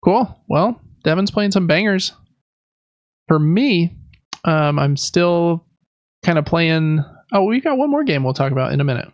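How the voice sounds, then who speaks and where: A man's voice: fairly high pitch, expressive, measured pace, no echo, dull audio. A man co-hosting a podcast, chatting casually about video games in an easygoing, tentative way. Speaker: podcast co-host.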